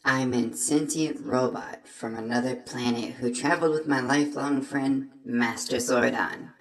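A man's voice: mid-range voice, fairly even intonation, measured pace, voice pushed up, lightly acted. A man voicing a damaged sentient robot from another planet, an earnest and hopeful helper in an audio drama. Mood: earnest, grave.

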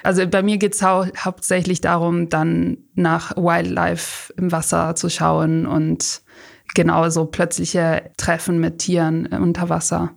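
A woman's voice: on the low side, flat delivery, a little slow, gravelly. A young woman from Rome, a podcast guest, talking lightly and hesitantly with many fillers. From Rome, Italy. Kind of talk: casual interview chat.

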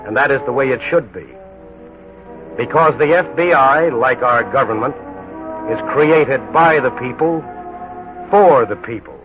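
A tinny vintage radio recording with clear music underneath. An American man narrates in solemn, dramatic 1940s style, leaning on emphatic pauses at a middling pitch.

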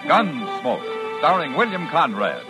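A man's voice: a little high, wildly swooping pitch, takes it slowly. An American man announcing a 1950s radio western in a dramatic, declamatory scripted style. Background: music.

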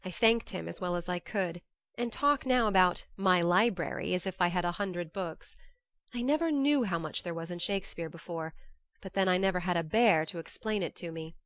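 A woman reading as a lively, affectionate young woman writing letters home, expressive and confiding, in an audiobook. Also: fairly low pitch, normal speed, no room sound, clean studio sound, natural voice. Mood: cheerful, chatty.

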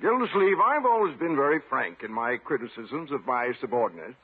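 An actor playing a stern, impatient small-town mayor with a brusque, authoritative manner in a 1950s radio comedy. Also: male voice, medium pitch, lively intonation, brisk, breathy edge. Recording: muffled, lo-fi 1950s radio recording.

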